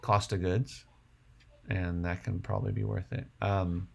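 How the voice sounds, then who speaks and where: Guy on a livestream, lowish creaky voice, casual rambly chat, thinking out loud. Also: flat delivery, measured pace, slight background noise. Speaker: livestream host. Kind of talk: casual livestream chat answering viewer questions.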